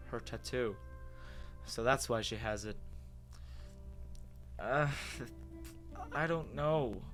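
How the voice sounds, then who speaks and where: A man acts out a bewildered human telling his own story in an animated dramatic reading. His mid-pitched voice is wondering and hesitant. Faint music plays behind him. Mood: tentative. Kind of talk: first-person dramatic story narration.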